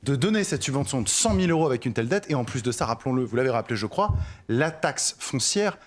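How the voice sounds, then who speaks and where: A man's voice: mid-range voice, expressive, quick pace. A man from Paris arguing politics as a debate panellist, insistent, going point by point and repeating for emphasis. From Paris, France.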